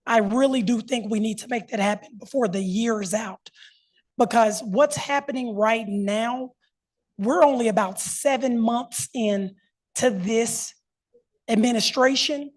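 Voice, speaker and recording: A woman's voice: on the high side, measured pace. A woman speaking as an academic panelist, giving an unscripted, conversational and emphatic answer.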